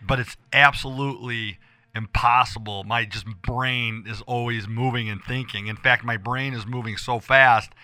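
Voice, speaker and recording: An American man hosting a casual radio show with a hoarse voice, talking in a rambling, emphatic way and repeating himself as he addresses listeners. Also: mid-range voice, fairly even intonation, normal speed, sharp tone, no echo. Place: radio studio.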